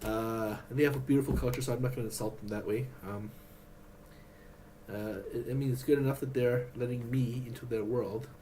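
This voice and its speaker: A man vlogging in a rambling, halting way, with many restarts and fillers. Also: mid-range voice, fairly even intonation, warm tone, a little hiss or hum, poor audio. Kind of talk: rambling personal vlog.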